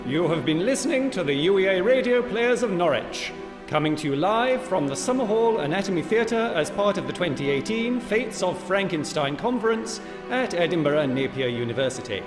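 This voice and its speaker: A man's voice: high voice, sing-song, normal speed. A man reading formal scripted closing credits as the announcer of a live radio play. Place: live in an echoing anatomy theatre. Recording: reverberant hall, music behind.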